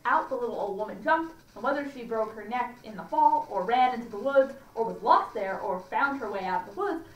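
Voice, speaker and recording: A woman reading a children's story aloud for a library audience, deliberately and with small stumbles. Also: fairly high pitch, animated, highs cut off. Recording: echoey room, distant mic, faint noise.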